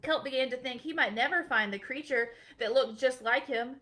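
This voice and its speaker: A woman, a children's librarian, reading a picture book aloud at bedtime in a gentle, expressive storytelling manner. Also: sits high, normal speed.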